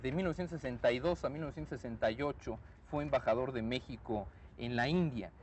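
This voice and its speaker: A Mexican man leads a television interview in a measured, formal way, hesitating now and then before going on. His voice sits at a middle pitch. A faint hiss runs behind him. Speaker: host, interviewer.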